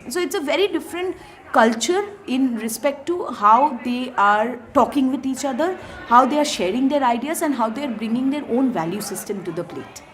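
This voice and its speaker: A woman's voice: high-pitched, animated, moderate pace. A woman giving an explanatory interview testimonial.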